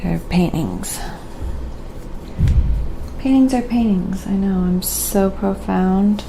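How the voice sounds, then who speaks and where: A soft-spoken, sometimes whispery woman chatting casually on an ASMR painting livestream, musing aloud in an unhurried way. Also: medium pitch, creaky, background noise.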